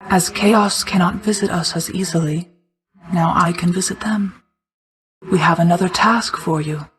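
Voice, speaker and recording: A woman playing an ancient, regal mother goddess of night in a video game, speaking in a formal, measured, restrained way, hushed at times. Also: lowish voice, fairly even intonation, lightly acted, poor audio. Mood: serene, formal. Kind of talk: scripted video game character acting.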